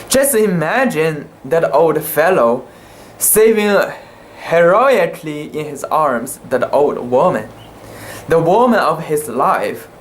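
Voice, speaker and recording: An amateur narrator giving a halting, theatrical reading of a literary sea story, sometimes stumbling over words. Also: medium pitch, a little hiss or hum. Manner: halting, theatrical delivery with stumbles and self-corrections.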